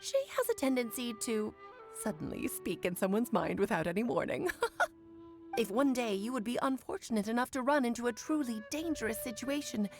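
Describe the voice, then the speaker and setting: A woman's voice: a little high, brisk, soft background music, natural voice. A woman playing an elegant, playful, worldly mage telling stories in a theatrical, poised way for an anime-style game. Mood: storytelling. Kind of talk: anime-style game cutscene acting, storytelling.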